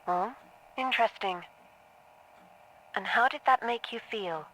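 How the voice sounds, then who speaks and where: An actress playing a detached therapist in an audio drama, asking probing questions in a casual, clinical manner. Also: female voice, a little high, a little slow, pushed and bright, a little hiss or hum, low-quality recording. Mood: detached curiosity.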